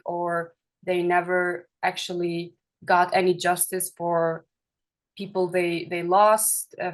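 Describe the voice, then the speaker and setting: A young woman from Kosovo, a film director, talking in an interview with many hesitations and restarts. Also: on the low side. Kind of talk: festival interview.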